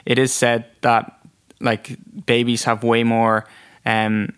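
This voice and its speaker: A young man raised first in Japan and then in Ireland gives easygoing answers in a clean, smooth voice. He meanders a little, padding his thoughts with fillers. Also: mid-range voice, slow. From Japan, then Ireland from age six. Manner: relaxed, many fillers like "kind of" and "um". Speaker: interviewee. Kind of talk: casual interview answers.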